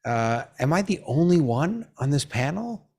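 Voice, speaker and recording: A man's voice: on the high side, sing-song, normal speed, a little mellow, slightly rough. American male rabbi, casual, self-deprecating humor. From United States. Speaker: rabbi and educator. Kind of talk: casual panel chat.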